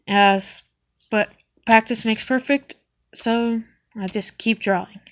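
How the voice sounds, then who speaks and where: A woman's voice: on the high side, steady tone, slow, fairly bright tone. A young woman with a sniffly cold, showing her sketchbook in a hesitant, casual way with frequent ums. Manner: hesitant, with many ums and restarts.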